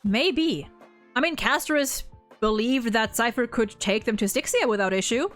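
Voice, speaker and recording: A woman with a fairly high voice hosts a casual livestream discussion. She is animated and blunt, swears easily, and speaks over faint music. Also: normal speed. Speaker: host ranking game story quests with a guest.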